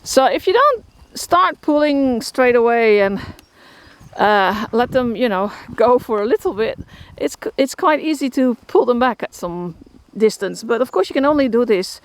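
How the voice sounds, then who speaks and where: A woman's voice: on the high side, expressive, normal speed, no room sound. A woman with a Dutch accent casually talking about her horses outdoors, rambling with many fillers and restarts. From Netherlands. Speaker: horse shelter keeper. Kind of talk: casual vlog walkthrough. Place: outdoors in a rural field. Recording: outdoor noise, crickets behind.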